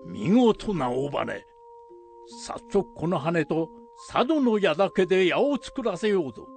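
A man acts a commanding samurai lord in a period radio drama. The voice is gravelly and a little high for a man, with slow, deliberate archaic phrasing. The delivery is authoritative and resolute, with wide swings of pitch. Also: very animated, a little mellow, soft background music, exaggerated voice. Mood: pleased, commanding.

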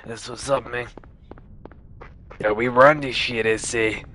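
A man's voice: medium pitch, fairly even intonation, slow, bright, forceful tone. A young man gaming who puts on the strained voice of a swaggering, tough-talking street gangster, tagging his lines with slang. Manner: strained put-on voice, repeated street-slang tags. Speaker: gamer. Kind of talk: gameplay commentary in a put-on character voice.

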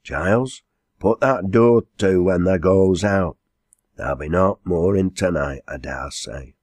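A man's voice: deep voice, unhurried, a little mellow, no room sound. A man voicing a bossy, practical country inn landlady in a storybook, giving orders in broad Lancashire dialect.

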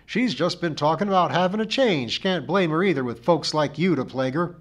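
A man's voice: a little high, expressive, brisk, voice pushed up. A man voicing a wry, unimpressed village handyman with a curt way of talking, in a storybook reading. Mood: needling. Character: dry, unimpressed village handyman, needling his old enemy.

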